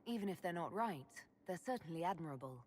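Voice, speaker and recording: Meet an actress playing the hero of a video game, a woman with a lowish voice and a dry, modest wit. Faint ambient sound sits under her lines. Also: animated, fast talker, slight background noise, natural voice. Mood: wry. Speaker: player character, the Inquisitor.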